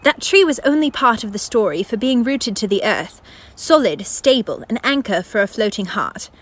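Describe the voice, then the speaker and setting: A woman narrating a radio play as a formal, philosophical storyteller with a measured, lecturing manner. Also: fairly high pitch, animated. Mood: lyrical, calm. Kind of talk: scripted literary narration.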